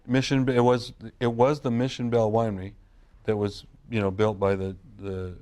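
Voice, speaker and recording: A man's voice: lowish voice, darkish tone. A middle-aged man from California, a wine grape grower, telling long family stories in a casual interview with frequent restarts. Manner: rambling, restarts sentences often.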